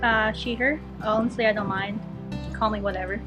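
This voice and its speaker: A woman's voice: medium pitch, fairly even intonation, normal speed. A sixteen-year-old with a woman's voice, speaking frankly and matter-of-factly in an interview. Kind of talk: casual interview answers. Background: background music.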